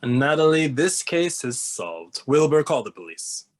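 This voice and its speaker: A man playing a smart, measured ghost detective in an animated comedy table read. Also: on the high side. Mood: confident, explaining.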